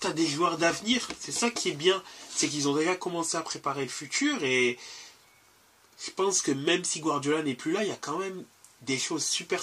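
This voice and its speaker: A man's voice: fairly high pitch, pushed and bright, slight background noise. A man hosting a casual football podcast, talking in a hesitant way with many fillers and restarts. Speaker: podcast host.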